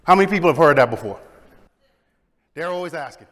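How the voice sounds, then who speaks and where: A middle-aged man presents at a conference, full of energy and often polling the audience for a show of hands. His voice sits a little high for a man. A long reverb trails his words through the big hall. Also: fast talker. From Bahamas. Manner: energetic, engages audience with questions and shows of hands. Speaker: conference speaker.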